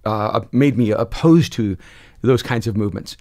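A man in his sixties, born in El Salvador, speaking as a pastor and author guest on a podcast. He tells stories reflectively, with quick bursts of speech that slow down for emphasis. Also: on the low side, a little mellow. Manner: expansive, gestures a lot, quick bursts then slow emphasis. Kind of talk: reflective conversational storytelling. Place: dark podcast studio. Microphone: studio mic on a boom, close.

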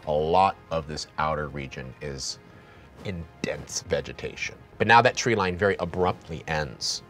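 A man with a very deep voice narrates a tabletop horror game as its game master, calmly describing each scene. He drops into a hushed tone for the grim parts, with faint music underneath. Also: normal speed.

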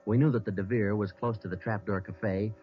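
A man with an American accent playing a terse, deadpan police sergeant in an old-time radio crime drama, both narrating and questioning. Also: fairly low pitch, brisk, lightly acted. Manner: clipped, deadpan, matter-of-fact. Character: terse, businesslike male police sergeant narrating his case. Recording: muffled vintage radio recording with music.

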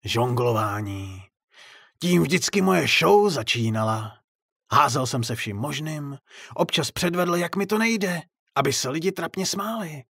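Man putting on a taunting raspy ghost clown, swooping high. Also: expressive, fairly bright tone, no echo, clean studio sound, heavily acted. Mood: gleeful menace. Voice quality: raspy, throaty laugh. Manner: mocking, sing-song scolding. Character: taunting vengeful ghostly male circus clown, raspy and gleeful.

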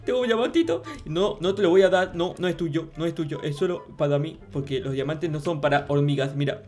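Casting: an adult playing a naive, excitable noob boy for game roleplay, boastful, repetitive, music underneath. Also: medium pitch, fairly quick, natural voice. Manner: repetitive, exclamatory, childish diminutives. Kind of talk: improvised gameplay roleplay. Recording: music behind the voice.

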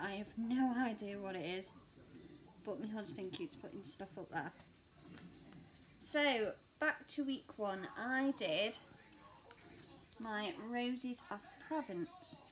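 Woman in her thirties, a touch hoarse, rambling and self-deprecating, relaxed slow delivery. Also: mid-range voice, slight background noise, poor audio. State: slightly croaky voice. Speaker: cross-stitch vlogger. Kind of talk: casual chat showing stitching projects. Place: living room at home, seated on a sofa.

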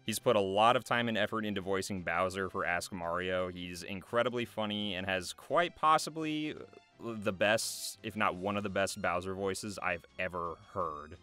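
A man's voice: low-pitched, normal speed, sharp tone. A young adult man, a voice actor, speaking casually and earnestly to viewers in a slightly hesitant way. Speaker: voice actor and video creator. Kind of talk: casual thank-you address to camera, loosely scripted. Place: small foam-treated recording booth. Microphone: large studio microphone close by. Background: music.

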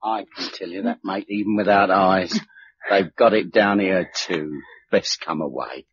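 Low-voiced, matey blind Englishman. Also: measured pace. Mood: resigned. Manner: chatty, matey, colloquial. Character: blind working man, plain-spoken, dependent companion. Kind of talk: radio drama acting. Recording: muffled, noisy old radio recording.

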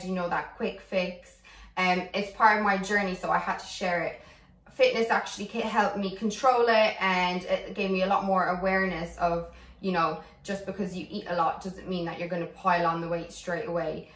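A woman's voice: medium pitch, fairly bright tone. A woman in her twenties, originally from Slovakia and raised in Ireland, with Slovak as her first language, speaking earnestly to camera in a personal vlog. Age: 20s. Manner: earnest, candid, reflective. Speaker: fitness coach. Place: bright indoor room at home. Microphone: camera mic from a few feet away. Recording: roomy, a bit distant.

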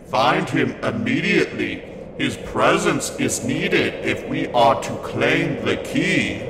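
A man's voice: medium pitch, animated, takes it slowly, very noisy. A man playing a cold, commanding, menacing Cyberman robot in an amateur audio drama. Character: menacing Cyberman robot soldiers and their leader. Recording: echoey amateur audio drama, loud music behind.

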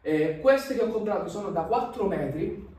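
A young man chats through a how-to in his home gym, pointing at the gear as he explains. His voice sits high for a man. He is heard from across a large, very echoey room. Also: animated, moderate pace, warm tone, distant mic. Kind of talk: casual how-to vlog. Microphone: camera across the room.

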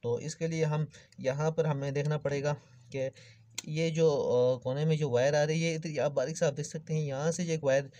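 A man's voice: on the high side, steady tone, normal speed, mellow. A man giving an informal hands-on repair tutorial, explaining things step by step and addressing viewers as friends. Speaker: electrical repair tutorial host. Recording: clearly noisy background with clicks.

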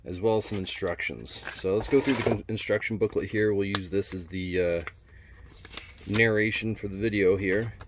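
A man's voice: lowish voice, steady tone, moderate pace, background noise. A man doing a casual, laid-back unboxing narration as a product reviewer, speaking in colloquial language. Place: indoors at a wooden workbench.

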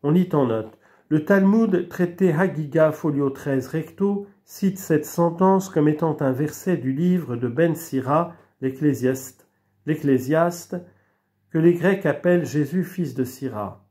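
A man slowly reading aloud from an old theology book, measured and deliberate, with small restarts. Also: fairly high pitch, warm tone. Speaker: reader of a religious book. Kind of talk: slow read-aloud of a theology text.

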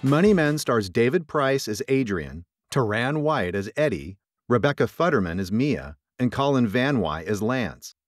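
A man's voice: mid-range voice, very animated, moderate pace, dry recording, soft background music. A man reading scripted credits as an announcer.

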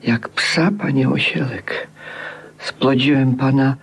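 Grovelling husky author, slow and pleading. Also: male voice, mid-range voice, exaggerated voice. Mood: adoring, pleading. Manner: fawning, imploring, theatrical. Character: grovelling, pleading author besotted with his own creation. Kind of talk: 1950s Polish radio play acting.

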